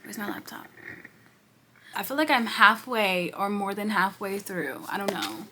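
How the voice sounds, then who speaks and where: A woman's voice: medium pitch, lively intonation, a little slow, pushed and bright, a little hiss or hum. A young woman in her twenties from New York, chatting casually and rambling through a reading vlog at home, sniffly and congested, recorded on her phone. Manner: chatty, slangy, rambling asides. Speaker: book vlogger. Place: at home, indoors. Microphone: phone camera.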